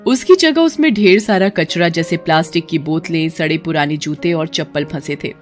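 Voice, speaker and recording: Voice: medium pitch, moderate pace. Scripted cartoon storyteller over music. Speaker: narrator.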